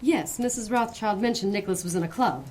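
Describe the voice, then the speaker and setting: A woman's voice: medium pitch, a little fast, close to an everyday voice. A woman playing a wry, coaxing associate to a private eye who keeps her partner in line. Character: wry female detective's associate, coaxing and sociable. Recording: clear background noise under the voice. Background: steady noise.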